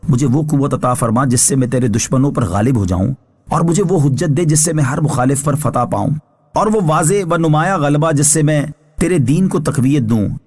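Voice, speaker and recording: A man with a mid-pitched voice reads scriptural translation and commentary. His delivery is measured and formal, like a scholar explaining a text from a script. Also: a little mellow, breathy edge.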